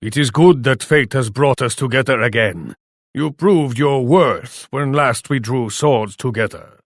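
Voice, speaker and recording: An actor playing a proud, imperious Japanese warlord in a video game, speaking formally. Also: male voice, mid-range voice, measured pace, no room sound, studio quality. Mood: menacing, scornful. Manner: imperious, formal, speaks of himself in third person. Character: proud, haughty Japanese warlord commanding his armies. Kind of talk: video game character voice lines.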